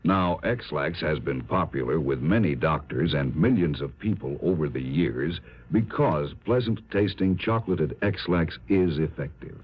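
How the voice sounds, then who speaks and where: Very deep, husky radio ad announcer. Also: male voice, lively intonation, measured pace. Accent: American. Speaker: commercial announcer. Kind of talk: 1950s radio ad read. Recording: muffled vintage radio recording.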